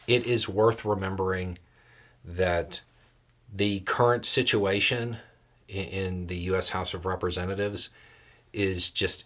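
A man giving casual, explanatory political commentary in a folksy, plain-spoken way, with measured phrasing and deliberate pauses. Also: low-pitched, a bit bright. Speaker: host of a political commentary show.

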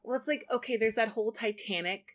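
A woman co-hosting a casual podcast, talking in a chatty, animated way and laughing easily. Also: a little high, fast talker. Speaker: podcast co-host.

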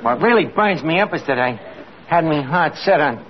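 A man's voice: a little high, lively intonation, moderate pace, natural voice. A man acting a gullible, working-class New York tavern manager in an old radio comedy. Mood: glum. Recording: muffled old radio recording, studio audience, hiss.

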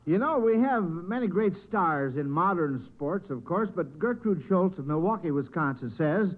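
Thin old radio recording, folksy guy hosting a quiz show, voice a bit high. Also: lively intonation, normal speed, phone-quality sound.

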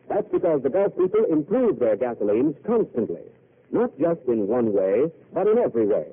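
Polished old-time radio pitchman. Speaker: commercial announcer. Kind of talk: 1940s radio commercial. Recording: tinny old radio broadcast.

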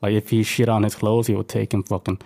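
A man's voice: on the low side, flat delivery, fast, gravelly, warm tone. An American man chatting casually as a podcast co-host, joking around in slangy, sweary language. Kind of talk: casual comedic chat.

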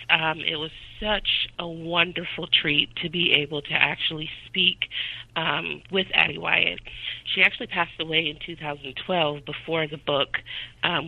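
A woman's voice: low voice, creaky, dry recording, highs cut off. A woman from the Midwest of the United States, a historian being interviewed about her book, speaking thoughtfully with frequent ums and uhs. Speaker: author and historian guest. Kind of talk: interview answers.

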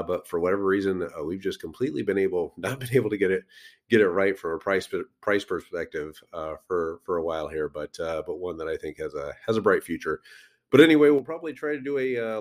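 A man hosting a crypto podcast, rambling casually with many fillers while sick and losing his voice. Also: lowish voice. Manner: rambling, lots of fillers and restarts.

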